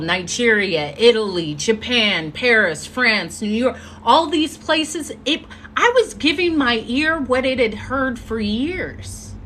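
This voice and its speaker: A woman giving an earnest motivational talk as a live stream, telling her story with drawn-out pauses. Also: fairly high pitch, pushed and bright, slight background noise. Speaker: motivational speaker. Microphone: phone or webcam mic.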